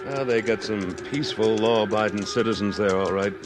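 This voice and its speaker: Man acting a deep, tough western cattleman. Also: brisk, music in the background, natural voice. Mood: cautioning. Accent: American. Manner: terse, blunt. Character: tough, commanding Civil War veteran cattleman. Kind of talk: 1950s radio western acting. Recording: noisy old radio broadcast.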